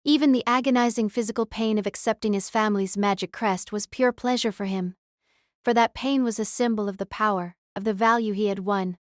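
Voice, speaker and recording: A woman's voice: mid-range voice, fairly even intonation, brisk, no echo, clean studio sound. A woman reading scripted story narration as an audiobook narrator.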